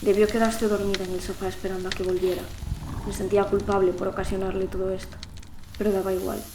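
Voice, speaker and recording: A woman's voice: medium pitch, little pitch movement, fast talker, roomy sound, background noise, lightly acted. A young woman playing a lonely, frightened teenage girl who narrates her ordeal in an emotive audio drama. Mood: desperate, determined. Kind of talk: dramatic first-person audio fiction narration.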